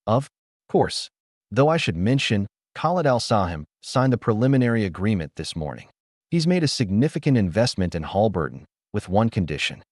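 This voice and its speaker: A man's voice: fairly low pitch, sing-song, normal speed, a little mellow, dry recording, studio quality. A man reading the lines of a calculating corporate executive who sounds falsely jovial and politely threatening.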